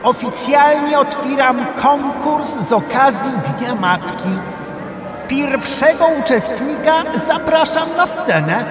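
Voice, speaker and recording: Fussy mocking contest announcer, super high voice, echoey hall, kids audio play. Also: male voice, slow pace. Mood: officious. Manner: officious, mocking. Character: pompous, fussy male talent contest host in insect world. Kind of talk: children's audio play acting. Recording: echoey hall with music behind.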